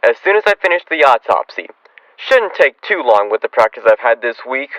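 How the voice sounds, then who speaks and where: A man's voice: medium pitch, very animated, fairly quick, a bit bright, no room sound, lo-fi sound. A man acting a wry, ghoulishly cheerful, money-minded frontier doctor in a radio western, chatty and drily gleeful. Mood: wryly gleeful. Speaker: actor in a student radio drama.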